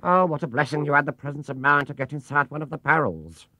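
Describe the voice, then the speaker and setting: A man's voice: a little high, quick pace, pushed and bright, no echo, voice pushed up. A man voicing a fussing, concerned English housekeeper woman in a children's storybook. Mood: fretful.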